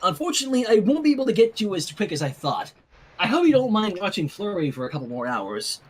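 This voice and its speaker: Story reading: earnest, chatty bookish young woman, voiced by a man, lively swooping pitch, polite and wordy. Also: fast talker, husky, heavily acted.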